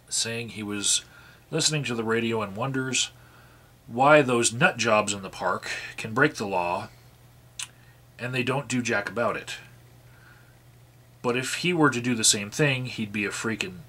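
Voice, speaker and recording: A man's voice: medium pitch, bright, forceful tone, breathy edge. A man reading call records aloud and adding his own commentary, sighing often and restarting his sentences. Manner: sighing, halting restarts between read passages. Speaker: activist vlogger reading out call logs.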